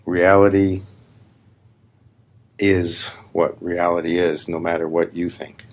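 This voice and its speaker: Guy leading a guided meditation, really slow and gravelly, emphatic, spiritual teacher type. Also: low-pitched, little pitch movement. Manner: deliberate, emphatic, repetitive phrasing with long pauses. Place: live gathering.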